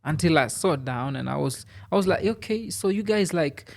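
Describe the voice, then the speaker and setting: A man with a gravelly, rough-edged voice sits in as a podcast guest in a studio. His pitch is a bit high for a man, and he rambles in loose, casual chat full of "you know" and "I mean.". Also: animated, moderate pace. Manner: rambling, filler-heavy, many "you know"s.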